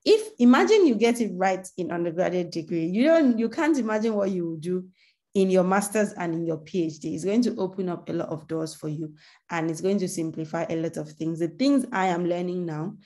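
A woman hosting an online webinar over a video call. Her voice is mellow and slightly low, and her manner is grateful, chatty and coaxing. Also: expressive, a little fast. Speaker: webinar host. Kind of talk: closing remarks to a webinar.

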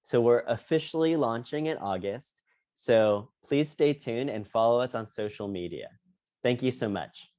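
A man's voice: mid-range voice, expressive, normal speed, dark tone. A man in his thirties delivering a prepared announcement on a video call as an organization's board member. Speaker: historical society board member. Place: indoors, video call with virtual background. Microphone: wired earphone mic on a video call.

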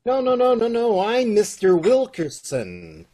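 A man acting a flustered, exasperated loan officer in an old-time radio comedy, with a husky voice. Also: high-pitched, wildly swooping pitch, unhurried, dark tone, heavily acted. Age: middle-aged. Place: indoors, on a video call. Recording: home video-call recording.

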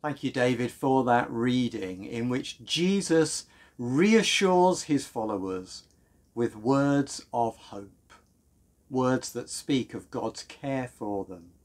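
Slow-paced British man leading worship. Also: medium pitch, expressive. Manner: measured, deliberate phrasing. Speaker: church minister leading a service. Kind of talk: sermon and prayer, reverent delivery. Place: small room at home, indoors.

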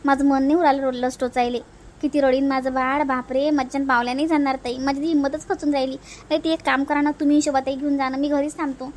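A woman's voice: high voice, little pitch movement, fast talker, a little mellow, lightly acted. A woman voicing an anxious young first-time mother in a comedy cartoon, fretful and pleading, with a Vidarbha Marathi accent. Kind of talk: comedy cartoon family dialogue.

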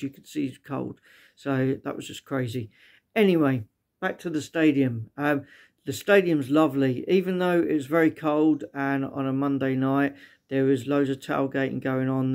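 British man with a creaky voice. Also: medium pitch, a little mellow. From Britain. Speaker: vlogger reviewing stadiums as a fan. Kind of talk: casual unscripted vlog review. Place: small living room indoors.

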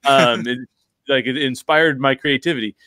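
A man's voice: mid-range voice, normal speed, pushed and bright, no echo. An American man in his forties hosting a casual podcast discussion, talking emphatically and repeating words for emphasis. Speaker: podcast host. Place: bedroom at home, on a video call. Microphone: close desk microphone with headset.

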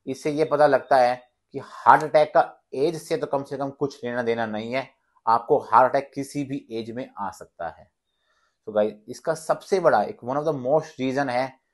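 A man, explaining things to viewers in an earnest, instructive way as a fitness vlogger. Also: medium pitch. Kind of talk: explainer to camera.

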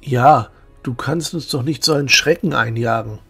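A man playing an earnest, emotional young village hero in a fan-made fantasy audio drama, speaking haltingly. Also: mid-range voice, creaky, a bit bright, husky, faint music behind. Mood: upset. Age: young adult.

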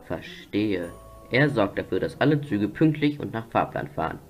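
A man's voice: fairly low pitch, very animated, fairly quick, soft background music. A man narrating a scripted children's story.

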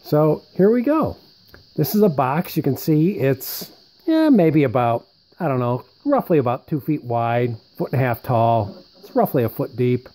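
A man's voice: mid-range voice, sing-song, a little slow, warm tone. A man giving a casual, unscripted show-and-tell as presenter, rambling conversationally and thinking aloud. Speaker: presenter showing vintage military radio equipment.

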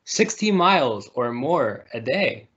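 A man's voice: mid-range voice, big pitch swings, slow pace, fairly bright tone. A man reading a children's picture book aloud over a video call. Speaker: storybook reader. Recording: muffled, lo-fi video call audio.

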